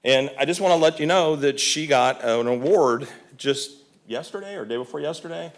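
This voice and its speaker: A man's voice: on the high side, normal speed. A man presenting to a county board as a volunteer, talking informally with fillers and restarts. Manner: conversational, many fillers and restarts. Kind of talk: public meeting presentation. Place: county commission meeting room.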